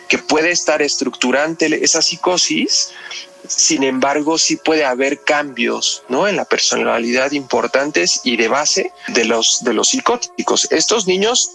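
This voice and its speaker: Man, Mexican accent, heavy vocal fry, conversational podcast explainer, frequent tag questions, faint music behind. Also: mid-range voice, sharp tone. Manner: constantly checks with tag questions. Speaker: psychologist guest.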